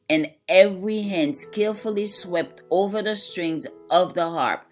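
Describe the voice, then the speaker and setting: A woman reading a scripted religious devotional in a measured, reverent manner. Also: fairly low pitch. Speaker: devotional reader.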